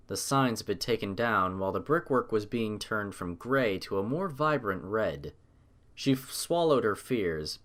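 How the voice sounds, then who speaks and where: Expressive male story narrator. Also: medium pitch.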